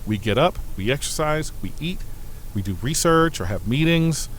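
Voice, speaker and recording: A man's voice: fairly high pitch, lively intonation, moderate pace, a little hiss or hum, lightly acted. A man playing an earnest starship aide in a science fiction audio drama, confiding and grumbling mildly as if in a personal letter. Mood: wistful, reflective. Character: earnest male starship aide to a demanding ambassador.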